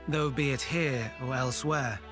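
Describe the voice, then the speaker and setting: A man playing an erudite, gentle researcher in a video game, explaining things in formal, precise, archaic phrasing. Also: medium pitch, steady tone, moderate pace, over music, close to an everyday voice. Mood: calm, explanatory. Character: erudite, gentle male researcher of an ancient people. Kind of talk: scripted video game cutscene acting.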